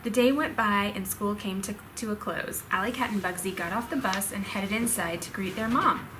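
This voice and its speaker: A woman's voice: medium pitch, moderate pace, fairly bright tone, a little hiss or hum, low-quality recording. A woman storyteller reading a children's storybook expressively to her child.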